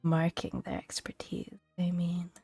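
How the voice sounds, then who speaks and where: A woman speaking softly and gently in a breathy near-whisper, narrating a video game as ASMR. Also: on the low side, steady tone, a little slow, no room sound. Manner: gentle, hushed delivery. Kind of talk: soft-spoken ASMR video game commentary. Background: soft background music.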